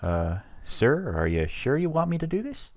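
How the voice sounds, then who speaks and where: A man's voice: on the high side, very animated, moderate pace, a little mellow, no echo. A man acting a reluctant, exasperated junior mercenary guard in a sci-fi audio drama. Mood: hesitant.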